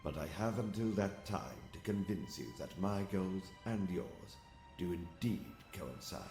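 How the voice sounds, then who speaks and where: Male actor as alien military strategist for sci-fi audio comic. Deep, formal, composed, calculating; music clearly underneath. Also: expressive, dark tone. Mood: composed, confident. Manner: formal, measured, precise phrasing. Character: calm, exiled alien warrior strategist, courteous and calculating. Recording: music behind the voice.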